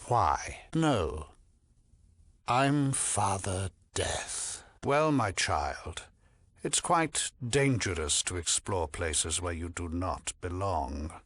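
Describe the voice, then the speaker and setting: An actor playing a haughty, sinister cult priest in a video game, pompous and condescending, with silky menace beneath false piety and drops into menacing murmurs. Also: male voice, low voice, very animated, drawn-out words, a bit bright, husky, no room sound, full character voice. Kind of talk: theatrical video game voice acting.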